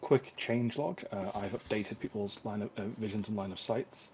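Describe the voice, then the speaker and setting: A man with a low voice runs a tabletop session over voice chat. He goes over changes in a halting, casual way, with plenty of ums. A faint hiss of noise sits under him. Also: a little mellow. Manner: halting, with frequent fillers. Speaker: dungeon master. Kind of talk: casual tabletop game talk.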